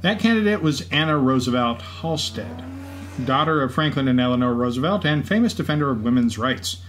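A man's voice: medium pitch, expressive, brisk. Male co-host, scripted, faint ambient noise. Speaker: podcast co-host. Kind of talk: scripted narration. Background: racetrack event ambience.

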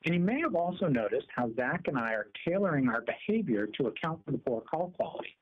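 A man's voice: a little high, big pitch swings, fast. A middle-aged man giving a scripted demonstration, heard through a speakerphone in a meeting room. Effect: speakerphone sound. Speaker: presenter. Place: small meeting room, indoors. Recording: muffled, lo-fi speakerphone pickup.

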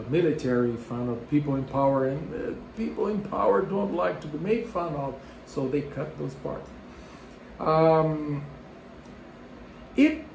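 A man in his fifties from Brazil with a Brazilian Portuguese accent, explaining music terms to the camera with lively gestures and self-deprecating asides. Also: on the high side, slight background noise. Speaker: music educator. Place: home room with bookshelves. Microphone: camera at arm's length. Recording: roomy home room with faint ambience.

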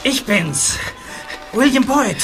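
A sharp-witted teenage detective who falters over memories and then gives orders. His delivery rises and falls a lot. Background music plays beneath him. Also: extremely high pitch, very animated, slow pace, heavily acted. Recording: music under the dialogue.

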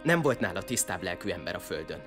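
A man's voice: low-pitched, very animated. A young man acting an earnest, easily flustered outcast lad who is defiant and eager for revenge, in an audio drama. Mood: solemn. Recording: music behind the voice.

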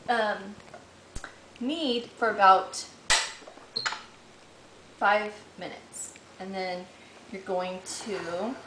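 A middle-aged woman chats her way through a home recipe in a roomy kitchen, her voice bouncing off hard surfaces. She sounds easygoing and homey and fills pauses with "um" as she works. A steady hiss of background noise runs under the recording. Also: mid-range voice. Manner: chatty and homey, thinking aloud with fillers while working dough. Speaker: home cooking host. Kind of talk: casual cooking demonstration. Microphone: camera mic, a few steps away.

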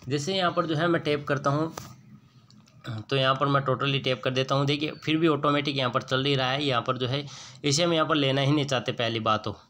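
A man's voice: medium pitch, slight background noise. A man narrating a screen-recorded app walkthrough, explaining step by step with many repeated filler phrases. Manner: repetitive filler-heavy explaining, steady. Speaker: app reviewer.

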